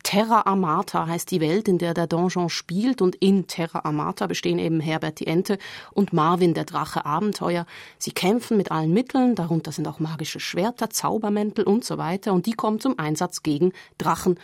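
A woman's voice: on the low side, brisk, creaky, no echo, studio quality. A woman giving prepared, explanatory radio feature talk, often listing things point by point. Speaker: radio magazine contributor.

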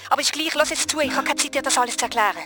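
Actress playing frantic woman fugitive, higher voice, rapid urgent orders, radio play, loud music behind. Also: lightly acted. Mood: breathless, urgent. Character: frantic woman violinist on the run, bossy, urgent.

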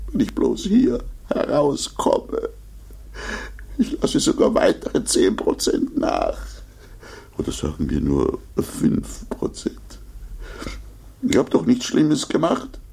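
A man's voice: lowish voice, vocal fry, slightly rough, heavily acted. An actor in a 1950s German radio play playing a pompous, panicky, self-pitying businessman trapped alone, muttering to himself. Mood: pleading, panicked. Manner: self-pitying muttering monologue, swinging between whispers and shrieks.

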